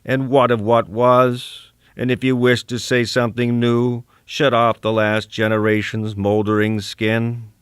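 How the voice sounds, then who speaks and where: A man's voice: mid-range voice, level tone, a little slow, hardly any pauses, clear, resonant tone, dry recording, clean studio sound, close to an everyday voice. A man playing an embittered, defiant old poet locked away, delivered as a combative, declamatory dramatic soliloquy. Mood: bitter, proclaiming. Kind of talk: dramatic first-person soliloquy.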